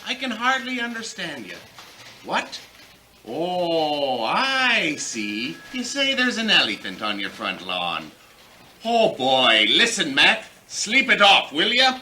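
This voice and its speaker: A man's voice: on the high side, huge pitch swings, slow pace, sharp tone, slight background noise, heavily acted. A man playing a brusque, weary, streetwise police officer in an old-time radio comedy. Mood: exasperated. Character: exasperated city police desk officer, grudgingly helpful.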